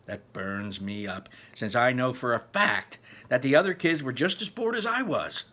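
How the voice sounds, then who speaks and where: A man's voice: medium pitch, lively intonation, moderate pace, sharp tone. A man voicing a resentful, sarcastic middle-school bully who gripes with sneering emphasis, reading a children's novel aloud. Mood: indignant. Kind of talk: first-person children's novel read aloud.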